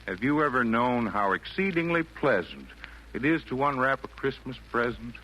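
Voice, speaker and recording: A man's voice: mid-range voice, pushed and bright. An actor in a 1940s radio play voicing a kindly, jolly old man with genial, lilting, theatrical delivery of rhymed verse. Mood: jolly, wistful. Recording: noisy vintage 1940s radio broadcast.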